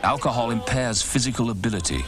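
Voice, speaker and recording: A man's voice: medium pitch, fast. A man reading sober, scripted public information narration as a voice-over. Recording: loud music over old VHS audio.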